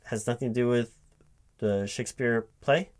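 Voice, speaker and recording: A man speaking aloud in a one-sided job interview ASMR roleplay as a recruiting manager, halting and puzzled, often repeating things to check them. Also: medium pitch, fairly even intonation, a little mellow. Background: computer keyboard typing.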